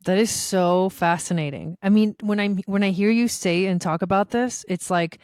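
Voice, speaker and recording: A woman's voice: mid-range voice, moderate pace, a little mellow, dry recording, clean studio sound. A woman from Argentina, a guest on a podcast, talking in an enthusiastic, chatty way with frequent fillers and restarts. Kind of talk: casual conversational interview.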